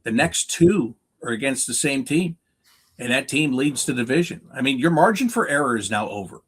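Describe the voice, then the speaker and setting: A man's voice: mid-range voice, expressive, normal speed, breathy edge. Emphatic North American man talking sports. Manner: emphatic, asks and answers own questions. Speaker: hockey play-by-play announcer as guest analyst. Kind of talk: unscripted sports talk, analysis.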